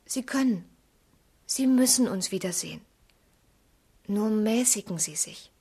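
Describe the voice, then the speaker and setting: An actress playing a tender, troubled young woman in a radio drama, with a breathy, husky voice and earnest delivery. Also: mid-range voice, animated, unhurried, dark tone. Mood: earnest, distressed. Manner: earnest, pleading, sometimes dropping to a whisper. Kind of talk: German literary radio drama acting.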